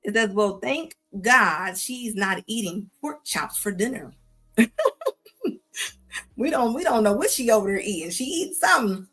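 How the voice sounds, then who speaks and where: A woman's voice: fairly high pitch, expressive, a little slow, a bit bright, husky. A woman hosting a live show, reacting to clips in a sassy, scolding tone with casual slang, often repeating herself. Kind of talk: live commentary on videos.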